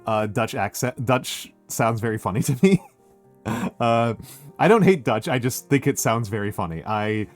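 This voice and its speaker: A man's voice: medium pitch, animated, normal speed, soft background music. An American man chatting casually on a livestream, reading out viewer messages and thanking them, rambling with many fillers and repeated phrases. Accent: American English. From United States. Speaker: streamer.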